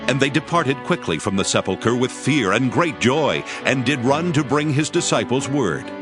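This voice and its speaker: A man narrating a dramatized Bible reading in a solemn, measured storytelling manner. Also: mid-range voice, big pitch swings, music in the background.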